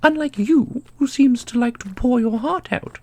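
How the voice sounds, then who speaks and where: A woman's voice: a little high, normal speed, dark tone. A woman playing an ancient, secretive divine custodian in a fantasy audio roleplay, knowing, theatrical and condescending. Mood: teasing.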